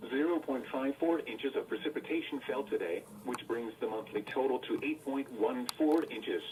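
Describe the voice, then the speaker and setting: A man's voice: medium pitch, darkish tone. Automated American male bulletin voice, impersonal, through a small radio speaker, distant, slightly noisy. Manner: measured, impersonal synthetic reading. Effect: played through a weather radio speaker. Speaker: automated weather radio announcer. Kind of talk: scripted weather bulletin.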